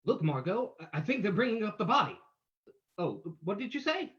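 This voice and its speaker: A man's voice: high voice, big pitch swings, normal speed, fairly bright tone. A man playing a breezy, wisecracking amateur sleuth in an old-time radio reading, with dull lo-fi audio. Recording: dull lo-fi live stream audio.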